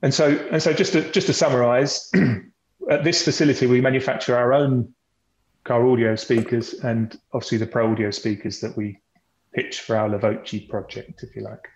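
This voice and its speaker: A man's voice: medium pitch, steady tone, moderate pace. A man speaking as a company representative and podcast guest, explaining the business in a conversational presentation style, often repeating words as he goes. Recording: boxy echoey room, dull lo-fi sound.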